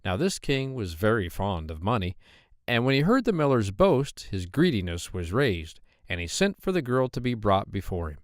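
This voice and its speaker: A man narrating a children's fairy tale, with expressive, measured storytelling. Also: lowish voice, no room sound, clean studio sound. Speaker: audiobook narrator.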